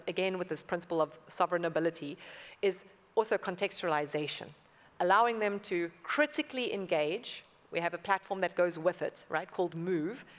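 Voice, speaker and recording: A woman speaking on a conference panel, earnestly explaining and repeating words for emphasis. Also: lowish voice. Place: conference hall. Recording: long reverb from a large hall.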